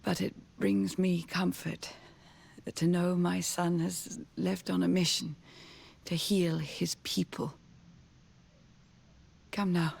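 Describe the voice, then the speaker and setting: A frail, sickly noble mother in a fantasy game. She speaks very slowly with a gravelly creak, weary, gentle and solemn, resigned to her illness. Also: fairly low pitch, flat delivery, heavily acted. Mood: tender, solemn. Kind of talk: video game drama acting.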